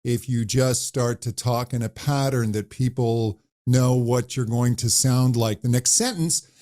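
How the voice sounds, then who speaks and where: A man who coaches voices, talking animatedly in an interview and demonstrating high and low pitch as he explains. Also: measured pace, dark tone. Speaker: voice coach guest. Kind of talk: conversational interview.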